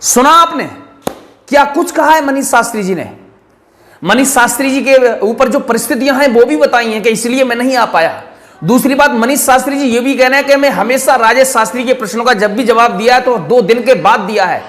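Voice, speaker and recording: A man's voice: very high voice, brisk, a bit bright. A man hosting a channel, giving emphatic spoken commentary to his viewers and repeating his points. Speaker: channel host.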